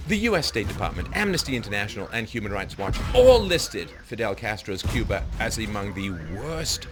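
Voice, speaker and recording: A man's voice: big pitch swings, fairly quick, over music. A man giving a sarcastic political commentary, dry and mocking in tone. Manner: sardonic, mocking quotes in exaggerated high voices. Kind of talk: sarcastic political monologue over gameplay.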